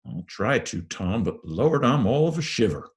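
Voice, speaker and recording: A man's voice: fairly low pitch, big pitch swings, normal speed, pitched above the performer's own voice. A man voicing a superstitious, frightened young boy in a storybook, with rustic, ungrammatical drawling talk.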